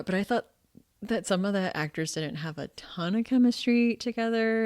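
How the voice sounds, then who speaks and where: A woman co-hosting a podcast, giving her opinions in a lively, casual way and laughing often. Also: fairly low pitch, no room sound, clean studio sound. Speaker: podcast co-host. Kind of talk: casual chat.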